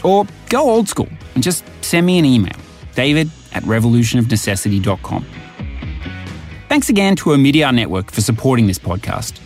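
A man reads podcast narration from a script with an animated, rising and falling delivery. A faint guitar bed plays under his voice. He stresses words emphatically. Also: mid-range voice, fairly quick.

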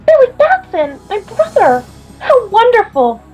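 A woman's voice: very high voice, wildly swooping pitch, measured pace, darkish tone, faint music behind, full character voice, poor audio. A woman playing a sweet, earnest rich girl in an amateur radio-play reading of an old comic. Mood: breathless wonder.

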